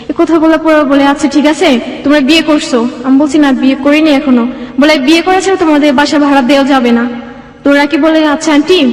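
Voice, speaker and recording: A young Bangladeshi woman telling her own life story as an interview guest. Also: high voice. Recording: echoey room with long reverb.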